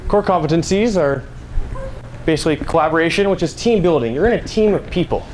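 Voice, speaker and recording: A man's voice: fairly high pitch, animated, brisk, darkish tone. A young man giving an informal speech to an audience in a conversational, playful storytelling manner. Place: live talk before an audience at a school. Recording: noisy live recording, background ambience.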